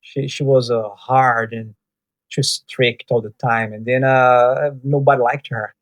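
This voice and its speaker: A man's voice: medium pitch, fairly even intonation, unhurried, low-quality recording. Portuguese-speaking male podcast host. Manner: brief, friendly prompts and anecdotes. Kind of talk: conversational podcast interview.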